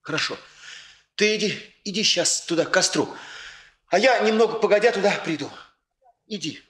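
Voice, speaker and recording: An actor playing an earnest, impulsive, lovelorn young bridegroom with a husky voice and halting, intense phrases in a 1960s radio drama. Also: male voice, high-pitched, a bit bright. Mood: agitated.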